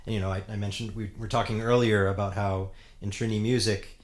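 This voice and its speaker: A man in his forties, a steel pan player, chatting casually as an interview guest, relaxed and full of you-knows. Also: fairly low pitch, a little fast. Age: 40s.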